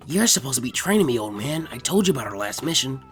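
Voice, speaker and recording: Here is a man acting out a lazy teenage ninja boy from an anime story. The voice sits low and grumbles along flatly, sounding bored and easily irritated. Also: quick pace, faint music behind. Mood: irritated, dry. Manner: low, flat, grumbling delivery.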